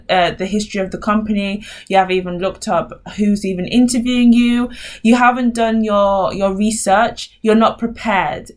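Woman, podcast co-host, blunt advice, emphatic delivery, casual chat. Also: mid-range voice.

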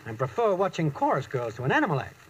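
Slangy, animated small-time schemer. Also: male voice, fairly high pitch, quick pace. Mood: groggy, wry. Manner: wisecracking, clipped slangy phrasing, calls his girl chicken. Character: lazy, shifty slang-talking schemer boyfriend. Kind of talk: 1940s-50s radio comedy acting. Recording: noisy old-time radio recording.

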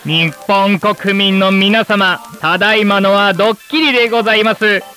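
An actor playing a formal, polished old-time television presenter addressing the nation in a radio drama. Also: male voice, high-pitched, steady tone, moderate pace, sharp tone, faint music behind. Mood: triumphant. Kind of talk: broadcast announcing in radio drama.

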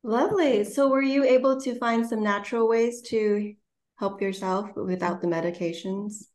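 A woman's voice: medium pitch, fairly even intonation, moderate pace, darkish tone. A woman hosting a casual interview, chatty and upbeat, asking questions and sharing her own stories. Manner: chatty and upbeat, laughs easily. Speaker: host.

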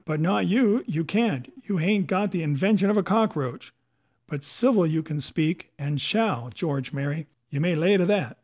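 A man voicing a domineering, crafty pirate sea cook who taunts and commands in rough sailor dialect, in a storybook reading. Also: on the high side, a little mellow.

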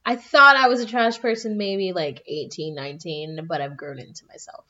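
A woman's voice: on the low side, fairly even intonation, moderate pace, muffled recording, heavy background noise. Teasing woman in her twenties. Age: 20s. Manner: teasing, dry, asks pointed questions. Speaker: podcast co-host. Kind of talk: casual chat.

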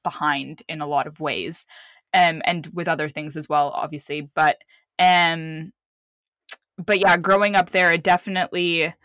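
A woman's voice: low voice, fairly even intonation, a little slow, fairly bright tone, no echo. A young woman from the Middle East, a filmmaker guest, telling personal stories in a candid, rambling way with many restarts. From Middle East (born Saudi Arabia, raised Lebanon). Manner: rambling, self-correcting, acts out quoted voices. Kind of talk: candid conversational storytelling.

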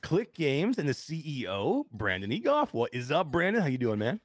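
A man hosting a live gaming show with a lively voice that swings widely up and down. He jokes, laughs a lot and curses casually. The sound is dry and close to the mic. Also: a little high, moderate pace, no echo. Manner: energetic, laughs often, casual swearing. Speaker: show host.

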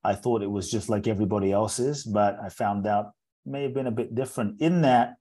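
Earnest low-voiced man telling his story. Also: warm tone. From England. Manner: earnest and measured, repeating words as he builds a thought. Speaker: inspirational speaker and interview guest. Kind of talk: reflective personal storytelling in an interview.

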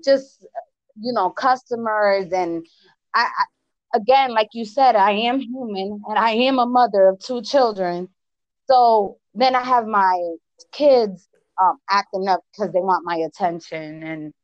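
A woman from Connecticut with an East Coast accent, hosting a casual chat in an excitable way, with restarts and laughs. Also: mid-range voice, no room sound, highs cut off. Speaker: host.

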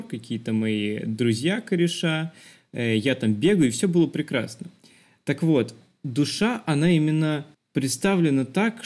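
A man's voice: mid-range voice, a little mellow. A man co-hosting a podcast, discussing film in a thoughtful, explanatory conversational way. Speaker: podcast co-host.

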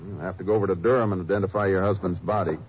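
An actor as a deep-voiced, grim lawman. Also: close to an everyday voice. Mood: firm. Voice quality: calm, low, measured. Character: steady, grim veteran lawman. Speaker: Texas Ranger and narrator. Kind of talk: 1950s radio drama acting. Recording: noisy, muffled old radio broadcast.

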